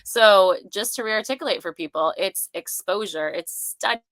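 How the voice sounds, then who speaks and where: Woman podcast host chatting, bright forceful voice, really dry audio. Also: medium pitch, normal speed, dry recording. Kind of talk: casual interview chat.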